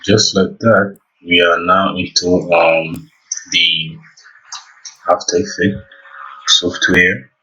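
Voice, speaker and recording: Slow, hesitant man, low voice, casual tutorial narration, mic slightly far away. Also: steady tone, sharp tone. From Rwanda. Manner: halting, hesitant, pausing mid-sentence. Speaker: tutorial presenter. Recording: slightly distant microphone.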